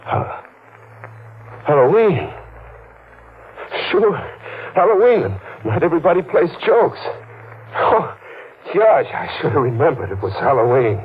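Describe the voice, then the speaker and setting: A man's voice: a little high, very animated, a little slow, pauses often, slight background noise. An actor playing a nervous, guilty man who stammers and gets defensive, in 1940s radio acting style. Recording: tinny old radio recording with music.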